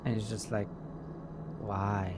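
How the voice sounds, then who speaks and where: Low-voiced man over loud engine noise. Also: flat delivery. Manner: rambling, halting, swears casually. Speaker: online roleplay gamer. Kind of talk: casual in-character roleplay chat.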